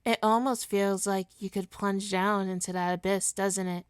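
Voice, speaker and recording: A woman playing a smug, seductive supervillain in an ASMR roleplay, coaxing and teasing her hero nemesis with deliberate, hypnotic talk. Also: medium pitch, fairly even intonation, clean tone, dry recording, clean studio sound, close to an everyday voice. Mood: soothing, hypnotic.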